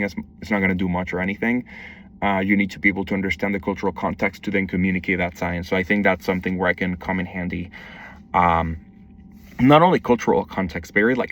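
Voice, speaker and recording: A man's voice: deep voice, a bit bright, slight background noise. Young man from Mexico, distant mic. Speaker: wildlife conservation educator. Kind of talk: self-introduction video, casual monologue.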